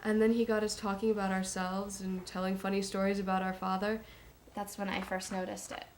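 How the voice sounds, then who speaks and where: A woman's voice: mid-range voice, level tone, brisk, a little hiss or hum, close to an everyday voice. An actress playing a reflective woman giving a hesitant, confiding account in a naturalistic documentary interview style. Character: reflective woman recounting meeting her secret half-brother.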